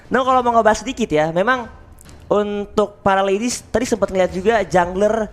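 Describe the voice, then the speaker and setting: A man's voice: high voice, brisk. A young man casting an esports match, analysing the draft picks at length. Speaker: esports caster.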